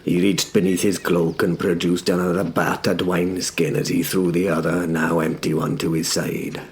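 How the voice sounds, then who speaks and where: A man narrating a first-person drama in a hushed, deliberate way, like scripted storytelling. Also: low-pitched, level tone, a little hiss or hum.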